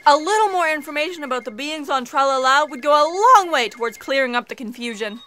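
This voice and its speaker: A high, chirpy, flustered fact checker. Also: female voice, animated, fast talker, pushed and bright. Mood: bright, helpful. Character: eager, scatterbrained young female fact checker on a cosmic broadcast. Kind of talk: comic sci-fi audio theatre.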